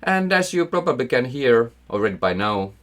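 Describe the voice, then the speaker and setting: A man's voice: medium pitch, very animated, normal speed. A man in his thirties with a Finnish accent, hosting an investing channel and narrating a scripted explainer with enthusiastic emphasis and wry asides.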